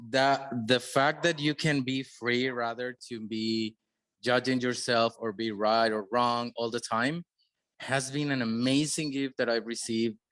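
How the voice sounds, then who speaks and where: A man, a guest in the audience, slowly and haltingly sharing his gratitude. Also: medium pitch, steady tone, a bit bright, poor audio. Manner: halting, slow, grateful.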